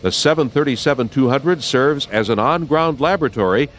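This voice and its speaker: A man's voice: medium pitch, fairly quick, fairly bright tone, slight background noise. An American man narrating a university newsreel from a script, as the announcer.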